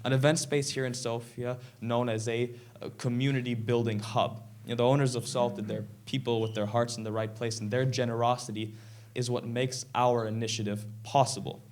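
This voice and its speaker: A sixteen-year-old boy from Canada giving a prepared stage talk in an earnest, measured way, a little sick. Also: medium pitch, level tone, darkish tone. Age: teens. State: slightly sick, clearing throat. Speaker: presenter. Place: event stage.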